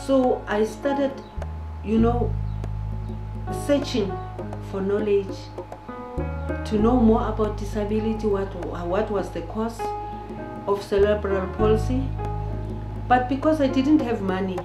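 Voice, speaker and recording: A middle-aged woman with a South African accent, telling her personal story in a deliberate, pausing manner in an interview. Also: medium pitch. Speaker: founder and head of a children's care centre. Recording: roomy echo with guitar music.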